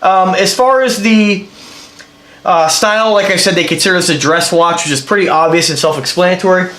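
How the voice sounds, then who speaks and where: A high-voiced man sounds stuffed up from a cold as he chats through a casual review, rambling and eager while he explains what he's holding. His voice bounces around a noticeably echoey room. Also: a little fast, a bit bright. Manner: rambling, enthusiastic, explains as he handles the item. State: congested with a cold. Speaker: watch reviewer.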